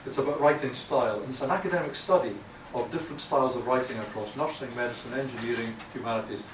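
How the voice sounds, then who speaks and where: A man's voice: medium pitch, fast talker. Scottish male lecturer, blunt, informal, lots of restarts; distant mic, room echo. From Scotland. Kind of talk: academic writing lecture. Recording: echoey room from far off, noisy.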